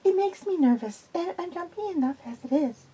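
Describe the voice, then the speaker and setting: A woman voicing a nervous, jumpy young woman who speaks haltingly. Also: very high-pitched, animated, warm tone, pitched above the performer's own voice. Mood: anxious. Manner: anxious, halting.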